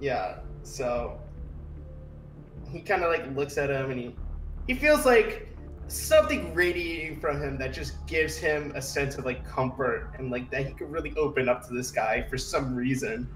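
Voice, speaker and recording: A man playing a tabletop roleplaying game, casually and haltingly describing his character with many "like" fillers, recorded slightly distant in a room. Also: medium pitch, animated, a bit bright, a little hiss or hum. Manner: halting, filler-heavy, says "like" often. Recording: roomy, slightly distant microphone.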